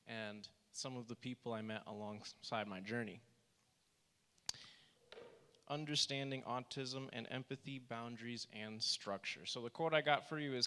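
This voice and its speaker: A soft-spoken man who is a therapist, giving a church workshop presentation and reading quotes aloud with earnest emphasis. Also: medium pitch, smooth. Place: church hall. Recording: reverberant church hall.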